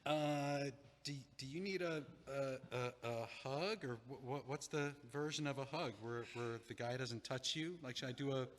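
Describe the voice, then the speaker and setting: A nervous, wry man who stammers and rambles, played by a man. His voice sits at a middling pitch and carries a long reverb. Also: normal speed, a little hiss or hum, natural voice. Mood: rambling. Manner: hesitant, rambling, self-deprecating. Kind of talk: audio play acting.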